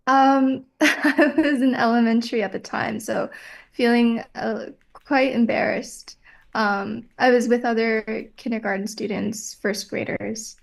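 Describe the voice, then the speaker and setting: A young woman whose first language is Vietnamese answers personal questions slowly and thoughtfully. Her fairly high voice has a gravelly edge, and she often pauses with "um" as she thinks. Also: fairly even intonation. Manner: measured, reflective, with frequent "um". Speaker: interviewee.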